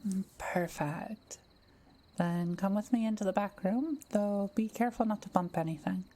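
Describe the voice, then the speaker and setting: A woman playing a kind, welcoming young witch who lives alone in the forest, speaking gently and playfully to the listener in a roleplay audio drama. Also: medium pitch, slight background noise, lightly acted. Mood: cozy, friendly. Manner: gentle, unhurried, softly playful.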